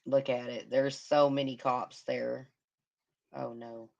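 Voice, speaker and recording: Hoarse woman host, heavy vocal fry, murmuring commentary, lo-fi stream sound. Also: a bass voice, flat delivery, takes it slowly, a little mellow. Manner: murmuring, sympathetic, hums to herself. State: hoarse, losing her voice. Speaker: livestream host. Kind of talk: casual livestream commentary on a crime case.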